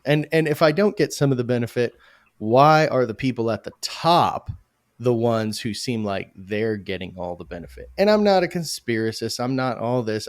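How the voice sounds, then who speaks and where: A man's voice: mid-range voice, no room sound, studio quality. A man, a crypto project founder, speaking emphatically and animatedly in a podcast interview, often correcting himself mid-sentence.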